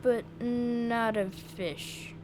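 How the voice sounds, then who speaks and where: High-pitched, excitable young boy. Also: very slow, a little hiss or hum, exaggerated voice, rough recording. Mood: hesitant, curious. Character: eager young boy adventurer, curious and excitable. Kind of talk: scripted audio drama acting.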